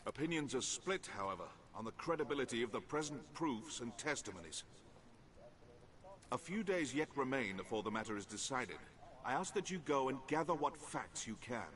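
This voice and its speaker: Man acting fantasy game court official, male, stern, formal archaic phrasing, measured, music behind. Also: medium pitch, expressive, fairly bright tone, close to an everyday voice. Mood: grave, formal. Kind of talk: fantasy video game quest dialogue.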